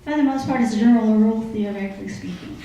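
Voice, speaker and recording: A woman's voice: medium pitch, rapid-fire, creaky, dark tone, slight background noise. A woman gives a folksy, coy, conversational humorous monologue as a commentator on a live stage show. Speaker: comic radio-show commentator. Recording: very echoey live stage recording.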